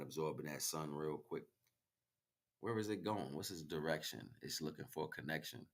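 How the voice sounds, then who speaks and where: Very deep-voiced American man, rhythmic talk. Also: a bit bright. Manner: rhythmic, rhyming, slangy philosophizing. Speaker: livestream host. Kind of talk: freestyle spiritual monologue to stream viewers.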